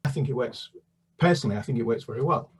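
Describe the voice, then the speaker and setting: A man's voice: mid-range voice, quick pace, breathy edge, far from the mic. A man, a children's author, answering interview questions in a hesitant, rambling, conversational way.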